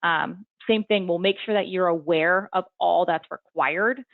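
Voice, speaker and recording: A woman's voice: lowish voice, measured pace, vocal fry, no echo. A young adult woman presenting a webinar in a friendly, casual way, with lots of ums and you-knows, over video call audio. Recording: tinny phone-like webinar audio.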